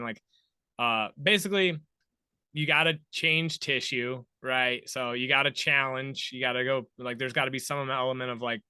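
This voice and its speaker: A man's voice: medium pitch, sharp tone, clean tone, no room sound. A man in his thirties hosting a podcast, chatting informally and thinking aloud as he goes. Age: 30s. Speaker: podcast host. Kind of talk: casual conversational interviewing.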